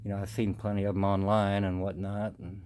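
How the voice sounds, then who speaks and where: Low-pitched American man with slightly slurred speech. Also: monotone, darkish tone. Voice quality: slurred, imprecise articulation from missing teeth. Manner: hesitant, halting, folksy. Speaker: vintage audio collector presenting his channel. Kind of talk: casual unscripted video chat.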